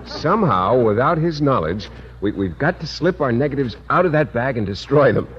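Actor as an earnest, animated teacher on old radio. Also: male voice, medium pitch, normal speed, natural voice. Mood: earnest scheming. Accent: American. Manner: hesitant, earnest, stammering. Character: earnest, bashful, naive male high school teacher. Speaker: supporting actor in a radio sitcom. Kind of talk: 1940s-50s American radio comedy acting. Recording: muffled, hissy old-time radio recording.

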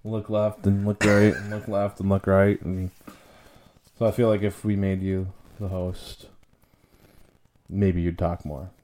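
A man's voice: deep voice, level tone, measured pace, long pauses, mellow. A young man co-hosting a casual podcast chat, teasing his friends and laughing often. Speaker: podcast co-host.

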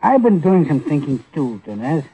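An actor playing an edgy, menacing murder suspect in an old radio crime drama, tense but smooth with his threats. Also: a little high, sing-song, normal speed, warm tone, exaggerated voice, poor audio. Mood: coldly menacing. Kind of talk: 1940s-50s radio crime drama acting. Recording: vintage radio drama recording.

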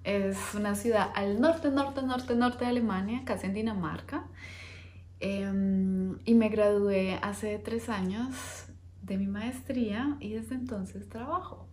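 A woman's voice: medium pitch, fairly even intonation, slow pace, darkish tone, roomy sound. A woman in her thirties hosting a podcast, chatting casually about herself with frequent hesitations and little laughs. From Colombia. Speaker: podcast host. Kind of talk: casual personal talk.

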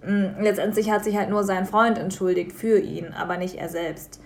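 A young woman from Germany co-hosts a radio talk show in a relaxed, chatty way. She tells everyday stories with energy and acts out the people in them. Her voice sits in a middle pitch. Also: moderate pace, vocal fry, slight background noise. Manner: lively storytelling, acts out quoted people. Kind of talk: casual radio chat.

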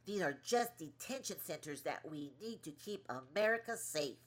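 An actress playing a curt, indignant government press secretary who scolds and talks down with emphasis in an audio play. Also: female voice, deep voice, lively intonation, normal speed.

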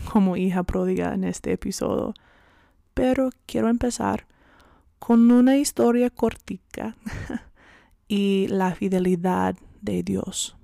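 A woman's voice: medium pitch, creaky, warm tone, no room sound, studio quality. A woman whose first language is English hosting a personal devotional podcast, earnest and a little self-conscious, sometimes dropping to a whisper. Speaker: podcast host.

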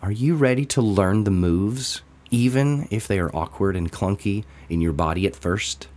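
A man reading a scripted daily devotional in a measured, deliberate way with pauses between phrases. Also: fairly low pitch, animated, a little mellow.

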